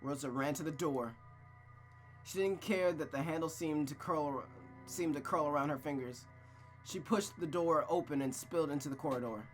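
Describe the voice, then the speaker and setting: Higher-voiced man streaming a story game, music behind. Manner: slangy, exclamatory, emphatic repetition. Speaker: streamer reading a visual novel aloud. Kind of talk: narrated reading and casual, profane recap chat.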